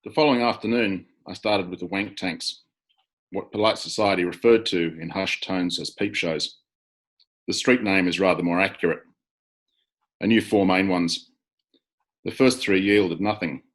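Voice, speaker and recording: A man's voice: low-pitched, moderate pace, pauses often. An Australian man, a crime author, reading his own hard-boiled first-person story over a video call.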